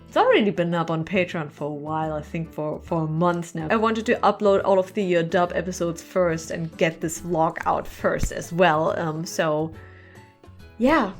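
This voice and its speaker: Earnest woman chatting over background music. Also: fairly high pitch. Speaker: comic artist and channel host. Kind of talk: casual, heartfelt chat to viewers.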